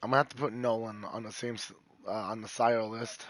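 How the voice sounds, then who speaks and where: A man with a rough voice streaming a football video game, joking and swearing with friends on voice chat. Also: on the low side, fairly even intonation, brisk, a bit bright, a little hiss or hum. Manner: joking, teasing, swears freely. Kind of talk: casual chat with friends while gaming.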